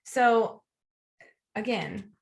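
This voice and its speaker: A woman's voice: fairly high pitch, very slow, gravelly. A woman co-hosting a podcast in a casual, conversational devotional discussion. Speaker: podcast co-host.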